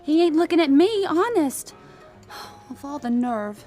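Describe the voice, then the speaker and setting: A gangster's companion in a radio play. She is high, jittery and easily shocked, with big swings of pitch over soft music. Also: lively intonation, a little slow. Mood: anxious, indignant. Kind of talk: radio drama acting.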